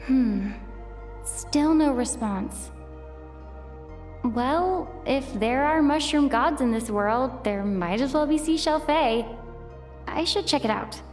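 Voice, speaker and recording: A woman's voice: high voice, animated, slow pace, long pauses, darkish tone. An actress voicing a wary, curious young female adventurer in a video game, thinking aloud to herself. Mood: puzzled. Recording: long reverb, music underneath. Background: game music.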